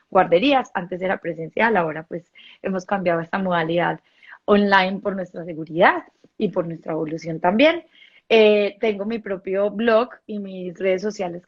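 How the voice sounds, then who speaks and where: A woman's voice: mid-range voice, lo-fi sound. A woman from Colombia with a paisa accent and a slight Mexican touch, a sexologist explaining her work at length as a guest in an online live interview.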